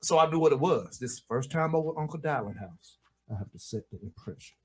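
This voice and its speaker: A man co-hosting a radio show in casual banter, animated and joking. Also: mid-range voice, quick pace, slightly rough. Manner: animated, joking, swings into loud stern put-on voices.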